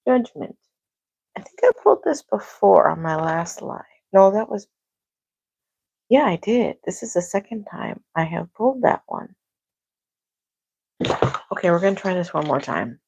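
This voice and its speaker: A soft-spoken woman reading tarot on a casual livestream at home, talking quietly to herself. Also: medium pitch, very animated, unhurried, gravelly, long pauses, breathy edge, no room sound, highs cut off. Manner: talks to herself, quiet and musing. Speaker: tarot reader. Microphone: clip-on microphone.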